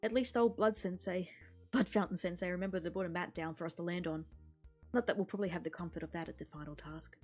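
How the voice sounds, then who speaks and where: A woman streamer reading a visual novel aloud in an expressive storytelling manner. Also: fairly low pitch, fast. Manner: expressive storytelling with character voices. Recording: music behind the voice. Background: music.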